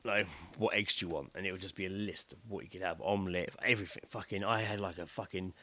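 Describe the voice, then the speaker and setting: A man's voice: low-pitched, steady tone, quick pace, fairly bright tone. A British man co-hosting a podcast, telling stories in casual, blokey and very sweary chat. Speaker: podcast co-host.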